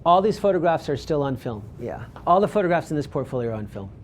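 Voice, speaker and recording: A man presents his work to a live audience in a relaxed, meandering way, pausing often on ums and restarting sentences. His pitch sits a bit high for a man. A faint hiss and rumble runs under the voice. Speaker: photographer giving a talk.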